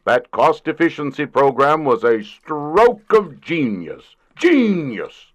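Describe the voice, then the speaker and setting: A man's voice: fairly high pitch, big pitch swings, slow pace, heavily acted. A man in a comic audio drama playing an overbearing middle-aged company boss, pompous and emphatic. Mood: pompous, brisk.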